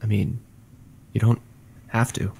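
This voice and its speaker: A man playing an earnest, halting animal doctor who hosts a radio show, in an audio drama. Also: lowish voice, little pitch movement, creaky, dark tone, slight background noise. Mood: earnest, hesitant. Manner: halting, awkward. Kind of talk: audio drama acting, conversational.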